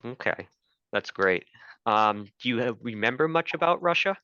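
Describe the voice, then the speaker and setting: A man's voice: medium pitch, lively intonation, normal speed, bright, forceful tone, clear, resonant tone, no echo. A man hosting a podcast and interviewing a guest in a conversational style, on a dry, slightly dull recording. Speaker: podcast host.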